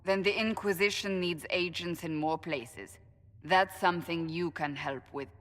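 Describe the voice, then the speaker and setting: Deep-voiced, stern adviser. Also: female voice, measured pace, fairly bright tone. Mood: grave, shrewd. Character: guarded, stern female adviser, shrewd and serious. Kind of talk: video game cutscene acting. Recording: reverberant hall sound.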